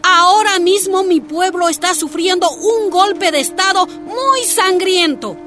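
An actress playing a defiant miners' leader in a radio drama. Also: female voice, extremely high pitch, unhurried, over music. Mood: demanding. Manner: forceful, demanding, then anguished. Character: defiant Bolivian woman miners' leader, exiled.

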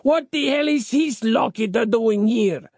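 A man's voice: high voice, huge pitch swings, a little slow, slightly rough, dry recording, clean studio sound, voice pushed up, full character voice. A man voicing a hot-tempered, melodramatic Italian father who runs a restaurant, with an Italian accent, in an audiobook. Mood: angry. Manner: melodramatic, exclamatory. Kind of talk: audiobook character voice.

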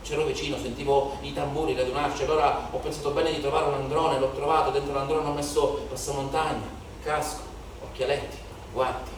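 A man's voice: mid-range voice, flat delivery, brisk, far from the mic, close to an everyday voice. Actor as young male rioter, very echoey hall. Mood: hushed, secretive. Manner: incantatory, repeating phrases in lists. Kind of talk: theatrical stage monologue. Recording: echoey, noisy live theatre recording from afar.

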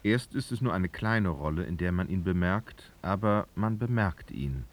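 A man's voice: low voice, animated, moderate pace. A man narrating a literary radio drama, reading expressively and dramatically.

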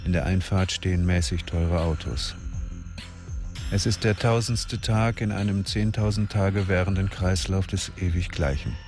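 A sombre storyteller with a deep, low voice. He narrates crime drama in a measured, literary way over faint music. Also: fairly even intonation. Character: sombre storyteller following a detective. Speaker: third-person narrator.

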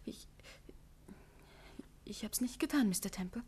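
A woman's voice: mid-range voice, lively intonation, a little slow, a little mellow. An actress playing a frightened young woman who stammers and hesitates, in a 1950s radio drama. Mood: nervous. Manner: hesitant, stammering, breathy whispers. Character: nervous frightened young woman hiding something.

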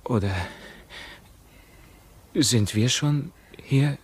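An actor playing a tormented, bewildered young prisoner in a radio play, speaking in a halting, declamatory way. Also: male voice, mid-range voice, breathy edge. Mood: brooding, bewildered. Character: tormented imprisoned young man, feverish, bewildered, brooding. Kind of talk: classic German radio play acting.

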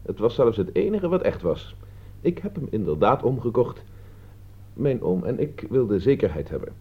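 A man's voice: mid-range voice, big pitch swings, normal speed, breathy edge. An actor playing a smug, self-assured male teacher in a radio play, speaking in a didactic way. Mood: self-satisfied. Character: smug, clever male teacher who stages a cruel prank. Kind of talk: radio play acting in Dutch. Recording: dull, muffled old radio recording.

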